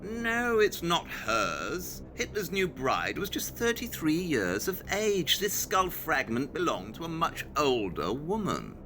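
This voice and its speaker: A sharp, forceful male detective in an audio play, brilliant and sure of himself. His delivery is precise and dryly superior, with lively swings of pitch over faint noise and rumble. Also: slow pace, few pauses, full character voice. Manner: precise, deductive, dryly condescending. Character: brilliant, self-assured male detective, coolly instructing his companion. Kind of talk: audio drama acting, expository dialogue.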